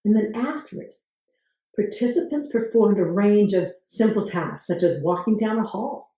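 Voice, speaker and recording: A woman hosting a channel, talking to camera about research, with a gravelly voice today and a measured way of asking viewers questions. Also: mid-range voice, lively intonation, mellow. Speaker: host. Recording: very echoey room.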